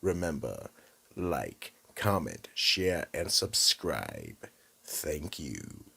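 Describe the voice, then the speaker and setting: Man doing a gravelly, bottomless-deep horror storyteller. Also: sing-song, very slow, bright, forceful tone, heavily acted. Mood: menacing. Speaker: narrator and host. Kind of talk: scripted horror narration.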